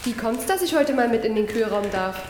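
A woman's voice: a little high, animated, fairly quick, over loud music, close to an everyday voice. A woman acting in a crime radio play as a distrustful young woman with a questioning, incredulous manner. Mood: curious. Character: young woman on community service, shocked and distrustful. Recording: noisy echoey room.

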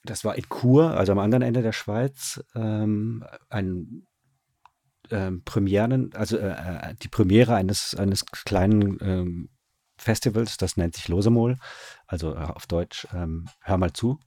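A man's voice: fairly low pitch, unhurried, dark tone, dry recording, clean studio sound. A man answering interview questions as a radio drama director, conversational and often searching for words with repeated starts.

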